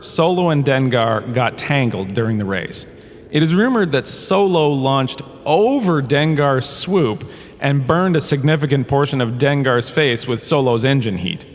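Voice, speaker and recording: A man playing a washed-up holodrama star who lectures in a comedic audio drama, chatty and wry, with theatrical storytelling. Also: mid-range voice. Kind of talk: comedic scripted lecture narration. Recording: long reverberant hall, faint ambient noise.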